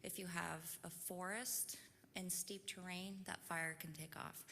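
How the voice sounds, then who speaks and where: Woman presenter with a quiet, bright, fairly low voice, methodical, in an echoey hall with faint background noise. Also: steady tone, a little slow, creaky. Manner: soft-spoken, orderly explaining. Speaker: utility preparedness presenter. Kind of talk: public forum presentation. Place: large meeting hall.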